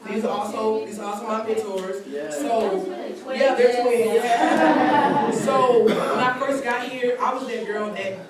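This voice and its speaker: A woman's voice: a little high, slow, a loud crowd. A woman in her twenties, a college student, giving an earnest personal testimony in long run-on sentences at a community seminar. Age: 20s. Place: large church hall. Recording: very echoey hall recorded from a distance with background noise.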